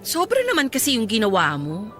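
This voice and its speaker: A woman's voice: a little high, wildly swooping pitch, brisk, over loud music. A woman playing a pushy, scheming bride-to-be and stepsister in a Filipino radio drama, wheedling and insistent.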